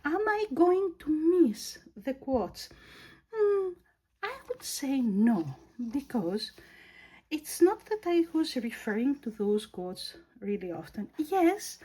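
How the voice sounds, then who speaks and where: A woman with a Greek accent, English her second language, casually reviewing a planner and pausing to search for words. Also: sits high, sing-song, a little mellow, poor audio. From Greece. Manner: slow, thoughtful, searching for words. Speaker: planner vlogger.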